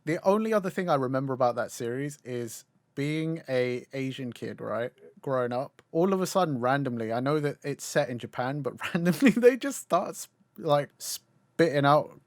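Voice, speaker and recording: A man's voice: medium pitch, lively intonation, normal speed, dry recording. Rambling young man, dry close mic. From Cantonese-speaking Chinese family. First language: Cantonese. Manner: rambling, swears casually, laughs mid-sentence. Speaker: podcast co-host. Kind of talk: casual chat.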